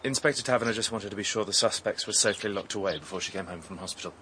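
Earnest young british bloke, nosy amateur sleuth, radio drama, bit of noise behind him. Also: male voice, on the low side, very fast, pushed and bright. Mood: matter-of-fact. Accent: British English. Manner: earnest, questioning. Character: earnest young suitor turned amateur detective, inquisitive.

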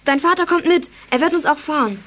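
A young-sounding female performer playing an excitable, indignant girl detective in a children's audio drama. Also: high-pitched, a little fast. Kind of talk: German children's radio play acting.

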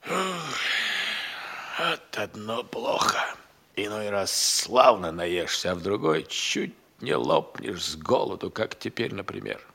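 An actor playing a hungry, idle, crafty manservant in an audio play, grumbling and sighing in folksy, speech. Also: male voice, fairly low pitch, sing-song, takes it slowly, creaky, sharp tone, husky, heavily acted. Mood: aggrieved, grumbling. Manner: folksy, drawling, sighing, grumbling, relishing his words. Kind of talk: theatrical audio-play monologue.

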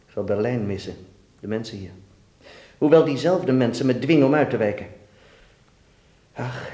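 A man's voice: mid-range voice, mellow, lightly acted. A man acting a worldly, melancholy dance teacher in a radio play, musing and fatherly with his pupil. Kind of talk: Dutch radio drama acting. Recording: echoey room sound.

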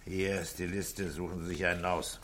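An actor playing an authoritative, patient police chief inspector in a 1960s German radio play, with a terse, probing, commanding way of talking. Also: male voice, deep voice, level tone, moderate pace, fairly bright tone.

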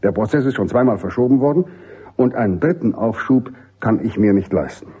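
An actor playing a middle-aged American army general in a German radio drama, jovial, authoritative and emphatic. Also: male voice, on the low side, animated, fairly quick, dark tone, husky, highs cut off. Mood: firm, insistent. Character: commanding American army general, genial but insistent.

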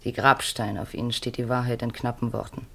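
An actress in a 1950s German radio play voicing an earnest, wistful middle-aged mother on the run, speaking in measured, pleading short phrases. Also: a bass voice, flat delivery, vocal fry, fairly bright tone, heavily acted. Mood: sorrowful, resolute. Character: Marianne, earnest, wistful fugitive mother of grown children.

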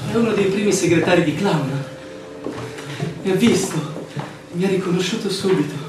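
A man playing an excitable, busy village messenger in a theatrical radio drama. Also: high voice, moderate pace, close to an everyday voice. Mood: eager. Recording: very echoey, distant, noisy room recording.

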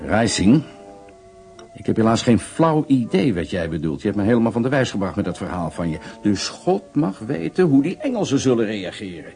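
An actor playing a doubtful, flustered German prisoner of war in a radio play, sceptical and animated. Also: male voice, on the low side, normal speed, faint music behind.

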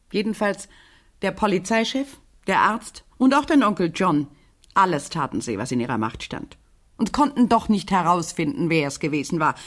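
Husky, low-voiced troubled widow. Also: female voice. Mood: bitter, subdued. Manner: halting, dramatic delivery in short phrases. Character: troubled, guarded widow confiding in a young neighbour girl. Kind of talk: German radio crime drama acting.

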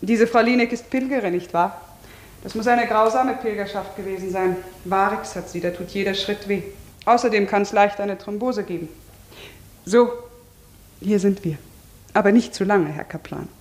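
A woman's voice: mid-range voice, normal speed, natural voice. A woman acting as a hospital nurse in a radio play, businesslike and matter-of-fact.

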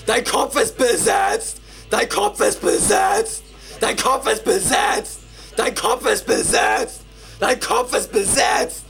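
A man insistently shouting a repeated chant, with music behind him. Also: high voice, slow pace, creaky. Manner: insistent, repetitive, forceful.

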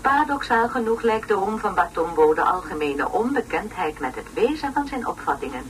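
A woman's voice: mid-range voice, very animated, fairly quick, close to an everyday voice. An actress playing a pompous, long-winded woman lecturer on an educational tape in a radio play, speaking in solemn, over-articulated phrases. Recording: noisy, distant old radio play recording.

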